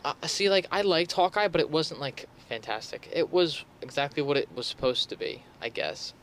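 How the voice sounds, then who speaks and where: A man's voice: on the high side, animated, moderate pace, fairly bright tone, a little hiss or hum. A man co-hosting a movie podcast, chatting casually with slang and the odd swear word. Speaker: podcast host.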